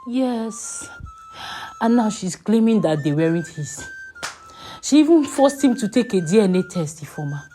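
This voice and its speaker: A woman voicing a remorseful old mother-in-law in a storybook tale, pleading in halting, repeated phrases with a cracking, hushed voice. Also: fairly high pitch, huge pitch swings, soft background music, pitched above the performer's own voice. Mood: distressed. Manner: halting, repeating words in apology.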